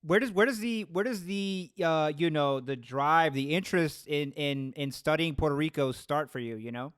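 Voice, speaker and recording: Male interviewer, a bit higher than average, conversational, tag questions, dry close-miked sound. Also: normal speed, fairly bright tone, smooth, no echo. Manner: seeks agreement with tag questions.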